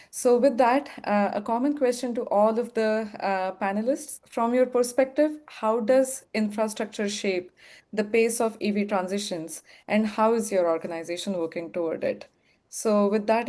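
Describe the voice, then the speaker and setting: A woman moderating a webinar panel, warm and measured in a formal way. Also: mid-range voice.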